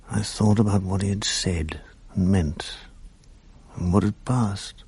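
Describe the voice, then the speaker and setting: A slow, gravelly, introspective narrator. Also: male voice, dark tone, no echo, heavily acted. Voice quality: slow, measured, low-key delivery. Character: grown man recalling his youth, thoughtful and introspective. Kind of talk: reflective first-person narration in a radio play.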